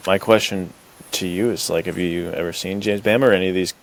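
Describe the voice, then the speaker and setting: A man's voice: low voice, fairly even intonation, fairly quick, poor audio. A man hosting a podcast, chatting casually in a laid-back, slangy way peppered with like and dude. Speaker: podcast host.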